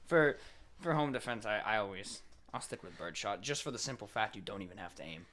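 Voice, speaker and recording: A man's voice: on the low side, animated, brisk, fairly bright tone, slight background noise. An American man chatting casually and bluntly as a podcast co-host. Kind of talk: casual chat.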